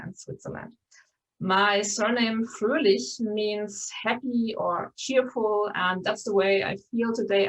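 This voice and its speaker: A woman's voice: medium pitch, rough recording. A woman with a Bavarian German accent hosting an online conference, speaking English with frequent ums and uhs. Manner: many ums and uhs, restarts, friendly. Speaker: conference host. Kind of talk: welcome and moderation of an online conference.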